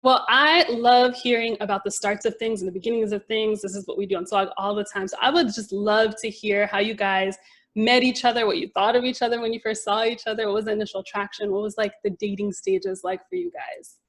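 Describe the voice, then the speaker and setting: A woman from New Jersey hosting a casual talk show, upbeat and bubbly and quick to laugh. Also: mid-range voice, a bit bright. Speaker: host.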